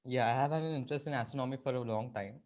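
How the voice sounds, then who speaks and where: A young man from Pune, a student introducing himself quietly and matter-of-factly. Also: medium pitch, rapid-fire, a little hiss or hum. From Pune, India. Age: young adult.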